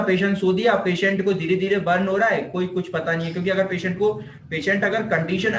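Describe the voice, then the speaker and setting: A man's voice: sits high, a bit bright, slight background noise. A man with an Indian accent giving an informal explanatory lecture, conversational, repeating points and asking rhetorical questions, mixing in English technical terms. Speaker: physiotherapy lecturer. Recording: echoey, reverberant room.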